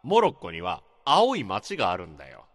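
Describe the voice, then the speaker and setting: An actor playing a blunt, rough-talking senior male office worker in a radio drama. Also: lowish voice, huge pitch swings, fairly quick, a bit bright, no echo, clean studio sound. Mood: grumbling. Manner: blunt, gruff casual speech.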